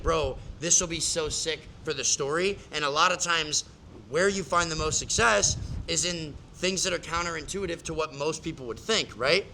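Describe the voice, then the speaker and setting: A man talks a client through his strategy in a loose, emphatic coaching style, leaning on "like" and quick restarts. His voice is very bright and sits a little high. There's a faint hiss of noise underneath. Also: rough recording. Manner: casual, filler-heavy, emphatic explaining. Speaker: business coach. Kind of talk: live coaching session.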